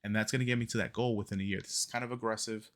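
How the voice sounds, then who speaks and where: Low gravelly man, rambling vlogger. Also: steady tone, very fast, a bit bright. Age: 30s. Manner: rambling, many ums and self-corrections. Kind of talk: casual fitness vlog talk.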